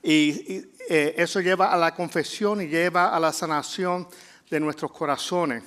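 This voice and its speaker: A middle-aged man from Puerto Rico with a Puerto Rican Spanish accent, preaching a sermon in a conversational way with frequent filler pauses. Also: on the high side, fairly even intonation, a bit bright. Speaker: pastor.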